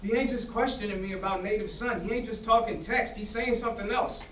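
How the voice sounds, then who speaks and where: A man's voice: high-pitched, fairly bright tone. A young man acting as a troubled teenage son pleading with his mother, in a staged play reading, speaking colloquially with insistent repetition. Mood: agitated, indignant. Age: young adult. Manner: colloquial, halting, repeating phrases insistently. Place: indoor staged reading before festival, distant mic. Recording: roomy, distant stage pickup, faint noise.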